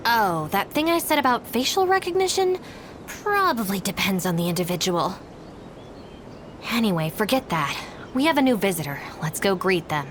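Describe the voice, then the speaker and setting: A woman's voice: medium pitch, big pitch swings, moderate pace, background noise, natural voice. A woman voicing a composed, practical and blunt young woman in a video game, speaking articulately and matter-of-factly. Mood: dry, brisk. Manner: matter-of-fact, articulate, cool-headed.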